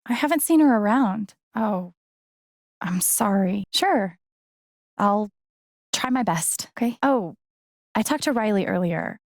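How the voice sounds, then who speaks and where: A woman's voice: mid-range voice, animated, slow pace, pauses often, a little mellow, dry recording, clean studio sound. An actress playing an earnest, caring and determined young woman in naturalistic, conversational video game dialogue. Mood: gentle, casual. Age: young adult.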